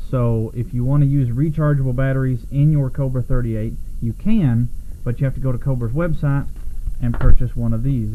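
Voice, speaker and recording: An American man giving a casual, unscripted product overview in a rambling, self-correcting way. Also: medium pitch. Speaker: product reviewer. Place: small home room, at a desk. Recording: muffled lo-fi camera audio, background noise.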